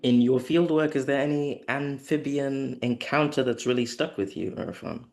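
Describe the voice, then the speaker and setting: A man from Thailand co-hosting an online workshop, chatting in a relaxed, frank way over a video call. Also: medium pitch, moderate pace, creaky. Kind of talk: casual online session chat.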